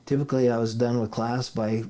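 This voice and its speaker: A man's voice: mid-range voice, flat delivery, fairly quick, dark tone. A middle-aged man from Idaho, a guest in a casual interview, telling rambling stories and chuckling often. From Idaho, United States. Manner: rambling storyteller, chuckles often, restarts sentences.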